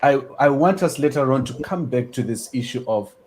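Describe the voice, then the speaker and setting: A male host asks questions politely, with some stammering restarts, at a middling pitch. The room is roomy, with faint noise behind him. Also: lively intonation, brisk, darkish tone. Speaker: interview host. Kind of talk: interview questions.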